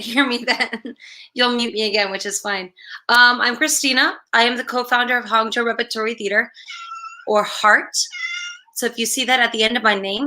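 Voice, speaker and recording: Theater woman on a video call, bright sharp voice, highish, breezy and casual. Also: rough recording. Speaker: theater co-founder, panel guest. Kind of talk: online panel talk.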